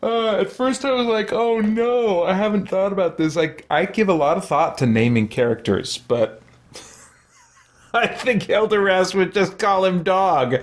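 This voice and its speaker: A man's voice: high-pitched, lively intonation, a little slow. A man in his thirties hosting a let's-play with casual, chatty commentary. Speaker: host of a gameplay stream. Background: game music.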